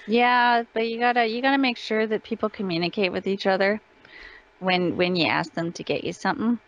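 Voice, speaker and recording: A woman's voice: mid-range voice, steady tone, brisk, highs cut off. A woman chatting casually as an interview guest, agreeable in manner. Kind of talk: casual chat.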